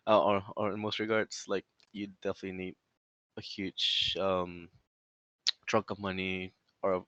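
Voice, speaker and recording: Slow-speaking man in his twenties. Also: on the low side, a bit bright, dry recording. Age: 20s. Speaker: podcast co-host. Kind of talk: casual podcast chat. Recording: dry, dull lo-fi mic.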